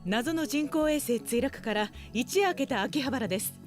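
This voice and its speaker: An actress playing a news reporter in a visual novel, delivering a scripted report. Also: female voice, high voice, expressive, fast, over music. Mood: composed reporting.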